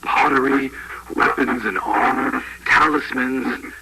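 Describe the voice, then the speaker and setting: Solemn archaeologist on a very noisy tape, acted. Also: male voice, medium pitch, close to an everyday voice. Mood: grave, awed. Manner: measured, formal, pausing between phrases. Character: sober archaeologist recording an uneasy log of discoveries. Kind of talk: 1980s found-tape horror monologue. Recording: very noisy old tape log.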